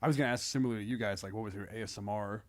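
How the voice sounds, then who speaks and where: A man speaking as a comedian guest in casual podcast chat, often restarting and trailing through half-finished phrases. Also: on the low side. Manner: halting, filler-heavy, self-interrupting.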